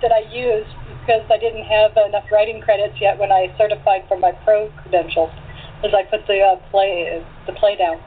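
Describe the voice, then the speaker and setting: A woman's voice: medium pitch, fairly even intonation, brisk, husky. A woman taking part in a casual, reminiscing group chat as a cast member. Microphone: internet call. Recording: tinny phone-like line, faint noise.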